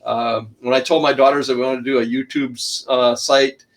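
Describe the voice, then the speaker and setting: A middle-aged man, an author and shipwreck researcher, talking loudly as a guest in an online interview and packing in detail with many restarts. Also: flat delivery, fairly quick, rough recording. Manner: loud, booming voice, packs in lots of detail; rambling, frequent restarts and uh fillers.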